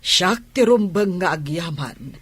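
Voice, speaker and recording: A woman's voice: lowish voice, lively intonation, slow pace, a bit bright, slightly rough, no room sound, heavily acted. A woman playing an older, well-off aunt who matchmakes and longs for grandchildren, in an Ilocano-accented radio drama. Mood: serious, businesslike.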